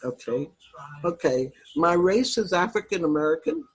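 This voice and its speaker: A woman in her eighties from Harlem, New York, reflecting slowly on her life during a video call workshop, with soft laughs. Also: on the low side, very animated, husky, poor audio. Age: 80s. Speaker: workshop participant.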